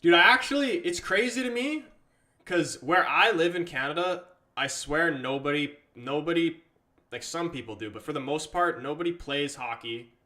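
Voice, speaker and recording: A man's voice: on the high side, moderate pace, bright, forceful tone. Chatty English man streaming a match. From England. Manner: chatty, reads viewer comments aloud, swears casually. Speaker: livestream host. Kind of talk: casual live match commentary and chat.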